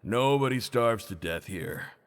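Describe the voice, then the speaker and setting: A man's voice: medium pitch, animated, measured pace, a little hiss or hum, lightly acted. An actor playing a frank, opinionated veteran male prison inmate in a video game, with a folksy way of talking. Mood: reminiscing.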